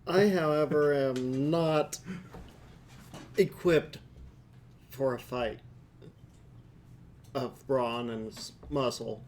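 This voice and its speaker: A man's voice: mid-range voice, drawn-out words, pauses often, a little mellow, a little hiss or hum. A man playing a formal vampire negotiating a deal at a tabletop game, speaking in a stiff, polite way. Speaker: tabletop player.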